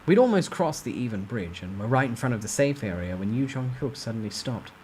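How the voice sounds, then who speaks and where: Casting: expressive man for dramatic fiction narration, widely varied pitch, slight noise in the recording. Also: a little fast, poor audio. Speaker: storyteller. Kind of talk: dramatic reading of a novel.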